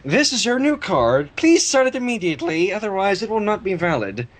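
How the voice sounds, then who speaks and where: A man's voice: sits high, big pitch swings, brisk. A man voicing a formal, upbeat company letter writer, announcing things in a story reading.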